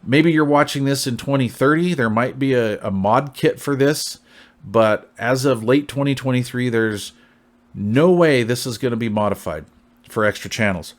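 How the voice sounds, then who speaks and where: A man's voice: mid-range voice, normal speed. An American man hosting a casual product review in a folksy, long-winded, conversational way, often checking in with the listener. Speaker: CB radio reviewer, channel host.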